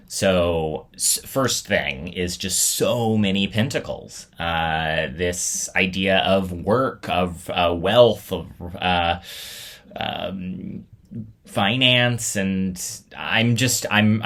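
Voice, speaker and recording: Low, bright-voiced man chatting. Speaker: storytelling show host. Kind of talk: casual reflective vlog talk.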